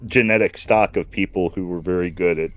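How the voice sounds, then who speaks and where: A man in his fifties hosting a casual stream chat, slow and reflective, full of fillers and restarts. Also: low-pitched, fairly even intonation. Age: 50s. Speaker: stream host.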